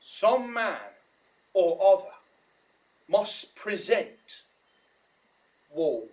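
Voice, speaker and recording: A pompous, bumbling comic rustic, very high for a male voice and widely swooping. He declaims slowly in a Shakespearean radio play, a little away from the microphone. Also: expressive, a little mellow, recorded from a distance, exaggerated voice. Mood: earnest, scheming. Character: bumbling, self-important male amateur actor, comic rustic. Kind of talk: Shakespearean audio drama acting.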